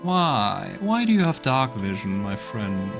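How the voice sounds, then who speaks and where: A man's voice: fairly low pitch, big pitch swings, a little slow, gravelly. A man giving casual let's play commentary, thinking aloud as he goes. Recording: faint game music behind.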